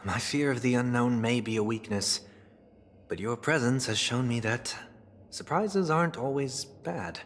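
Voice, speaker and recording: An actor playing a refined, introspective man of rigid discipline in a video game, speaking in a measured, formal, earnest way. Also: medium pitch, slight background noise, lightly acted. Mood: sincere, formal. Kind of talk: scripted dramatic game dialogue. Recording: background music under voice.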